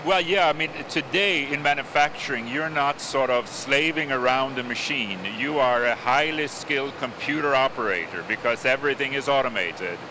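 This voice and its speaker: A man from Sweden speaks as a company CEO in an interview, earnest and plain-spoken. His voice sits at a middle pitch. Clear outdoor noise runs behind him. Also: moderate pace, hardly any pauses. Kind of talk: casual interview talk.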